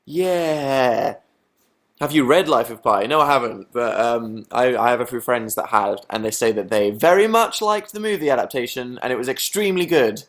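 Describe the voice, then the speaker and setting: A young Englishman hosts a relaxed live chat, answering viewers one by one. His humour is dry and sarcastic, often turned on himself. His voice sits at an ordinary middle pitch. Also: moderate pace. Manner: sarcastic, deadpan self-mocking jokes. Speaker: livestream host. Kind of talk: casual live chat reading viewer comments.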